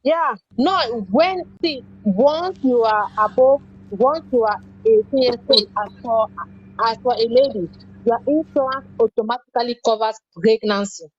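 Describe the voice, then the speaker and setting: A woman's voice: fairly high pitch, measured pace, slightly rough. A woman giving earnest, emphatic advice in a casual chat. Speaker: guest. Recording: dry, dull lo-fi sound.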